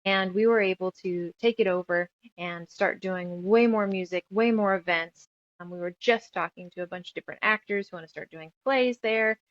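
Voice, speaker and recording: A woman's voice: medium pitch, fairly bright tone, no room sound, muffled recording. A woman from the United States speaking as a creative guest in a casual interview, talkative and reflective as she rambles through her thoughts. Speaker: guest, author, musician and audio drama creator.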